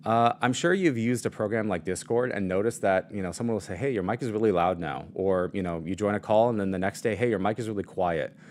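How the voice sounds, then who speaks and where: Low-voiced man demoing mic gear. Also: quick pace, hardly any pauses. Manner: casual, explanatory, many fillers. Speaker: product demo presenter. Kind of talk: live product demo and Q&A. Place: at a desk. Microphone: studio mic on shock mount and arm.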